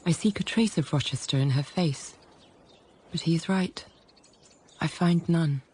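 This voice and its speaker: An actress playing an introspective young governess narrating her own story, hushed, intimate and deliberate, in a radio drama. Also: sits low, slightly rough, heavily acted. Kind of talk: dramatised first-person narration.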